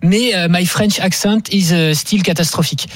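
A man guest on a French TV panel recounting a personal experience in a relaxed way. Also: high voice, normal speed, no echo. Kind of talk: TV panel conversation.

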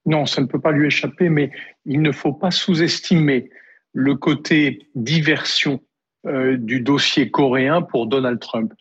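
A man giving a measured analysis as a guest on a TV news panel. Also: mid-range voice, steady tone, slightly rough. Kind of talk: TV panel debate. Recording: dull lo-fi sound.

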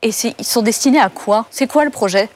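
A woman's voice: a little high, brisk, a little hiss or hum. A woman interviewing a guest, rephrasing his points and prompting him with questions.

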